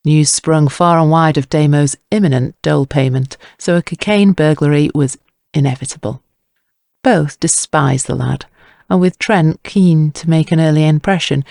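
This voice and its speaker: A man's voice: on the high side, creaky, a little mellow, dry recording, studio quality. A man reading scripted narration for a crime audio drama.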